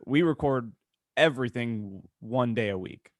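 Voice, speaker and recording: A man with a mid-pitched voice co-hosts a podcast. He talks in a casual, conversational way, and the sound is dry, with no room echo. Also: a little slow. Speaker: podcast co-host.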